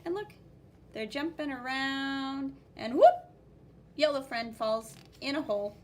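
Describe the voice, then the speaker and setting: A woman reading a picture book aloud to children as a teacher, expressive and exclaiming, with spoken sound effects and commentary. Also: high voice, unhurried.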